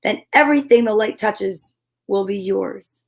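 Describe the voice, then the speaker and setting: Woman as stern, slow-spoken lion king. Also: medium pitch, creaky. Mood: solemn.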